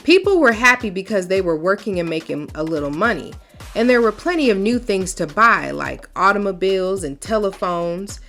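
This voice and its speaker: A woman reading a picture book aloud for children in an expressive, folksy storyteller's way, then talking to her young viewers. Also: mid-range voice, normal speed, soft background music.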